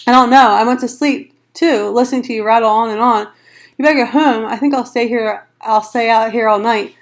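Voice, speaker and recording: Lady doing a soft-spoken gentle teen boy, calm and steady. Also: on the high side, brisk, natural voice. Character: quiet, gentle teenage boy, a loyal friend.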